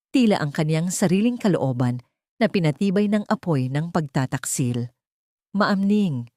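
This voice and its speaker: A woman narrates a dramatic audio story in a measured, solemn way. Her voice is a little low, and the recording is close and dry. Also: dark tone, dry recording.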